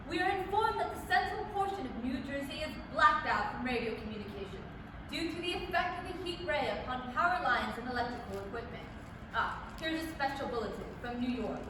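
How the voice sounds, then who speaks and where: A woman playing an earnest radio news announcer reading emergency bulletins in a formal, clipped way, in a staged drama reading. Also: high voice. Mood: grave. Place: library room, staged reading filmed from a distance. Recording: echoey, noisy hall from far off.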